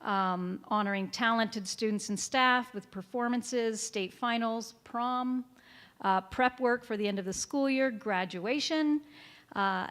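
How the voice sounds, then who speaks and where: A woman chairing a school board meeting who gives friendly, chatty remarks with fillers. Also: on the high side.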